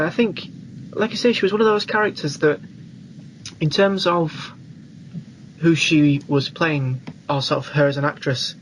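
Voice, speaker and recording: Higher-voiced British man, slightly noisy recording. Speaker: film podcast co-host. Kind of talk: casual film review chat. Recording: clearly noisy background ambience.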